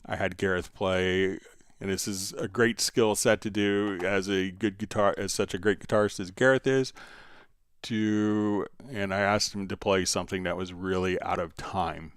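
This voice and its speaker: A man hosting a casual live software tutorial, talking haltingly as he thinks aloud while demonstrating. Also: low voice, fairly even intonation, smooth, no echo, clean studio sound. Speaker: livestream host, software instructor.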